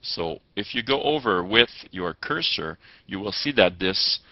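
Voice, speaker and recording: A man giving step-by-step tutorial narration as an instructor, with halting delivery and formal wording. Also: deep voice, animated. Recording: muffled, echoey lo-fi room recording.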